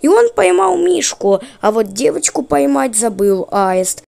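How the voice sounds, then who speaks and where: A woman's voice: high voice, big pitch swings, unhurried, creaky, darkish tone. A woman giving casual, chatty voice-over commentary in an informal, opinionated way. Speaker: video creator and narrator. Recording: music under the voice. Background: background music.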